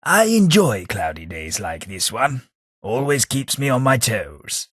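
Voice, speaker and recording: An actor playing an old, wry undead London gentleman in a game, speaking, formal politeness and dry wit, with a husky voice. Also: medium pitch, very animated, measured pace, dry recording, exaggerated voice. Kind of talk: game companion voice lines. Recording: dry close studio recording.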